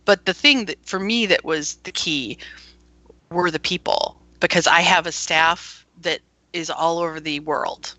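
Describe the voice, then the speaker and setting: A woman's voice: fairly low pitch, a little slow, pushed and bright, no room sound, rough recording. A woman who founded an internet radio station, chatting casually as an interview guest and laughing often while she tells her story.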